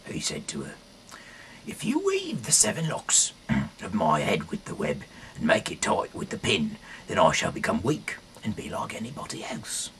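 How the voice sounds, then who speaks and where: A man reading scripture aloud in a halting, rambling way, often correcting himself and dropping in casual asides. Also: low-pitched, huge pitch swings, fairly bright tone, slight background noise. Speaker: amateur reader of a Bible audio series.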